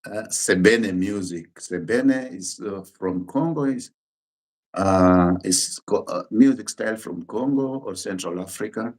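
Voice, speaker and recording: A middle-aged Kenyan man, a Luo speaker, talks as a guest on a casual show. His voice sits a little low. He speaks in plain, unhurried sentences and often pauses or restarts a phrase. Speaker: musician and pastor guest. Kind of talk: casual interview chat.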